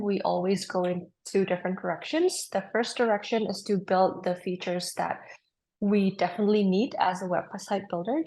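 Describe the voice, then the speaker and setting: A woman's voice: medium pitch, darkish tone, poor audio. A young woman from Indonesia, a startup co-founder, chatting in an interview in an upbeat, explanatory way with frequent ums. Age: young adult. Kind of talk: casual interview conversation.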